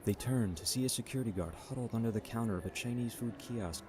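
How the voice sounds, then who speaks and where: A man's voice: mid-range voice, fast talker, a little mellow, slight background noise. A man narrating a scripted radio drama in a dramatic storytelling manner. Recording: music bed behind the voice.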